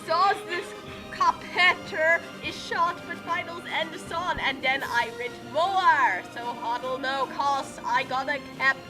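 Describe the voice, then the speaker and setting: A woman's voice: high-pitched, slow, over music, low-quality recording. A woman on an online group call, hosting a dramatic fanfic reading that mixes theatrical delivery with casual chat.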